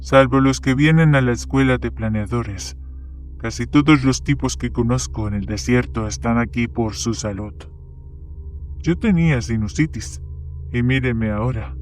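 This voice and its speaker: A man's voice: mid-range voice, normal speed, natural voice. A man playing guarded male characters in the dialogue of an audio horror story. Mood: casual. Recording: background music under the voice. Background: music.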